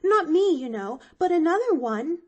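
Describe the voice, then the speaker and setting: Earnest stammering little girl, very high and lively, voiced by a woman reader. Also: moderate pace. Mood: pleading eager. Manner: stammering, breathless and pleading. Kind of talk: audiobook character voice.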